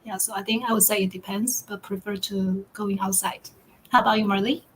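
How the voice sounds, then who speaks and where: A woman from Taiwan chatting casually in an online group talk, speaking haltingly and often clearing her throat. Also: mid-range voice, fairly even intonation, a little hiss or hum, poor audio. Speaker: guest co-host.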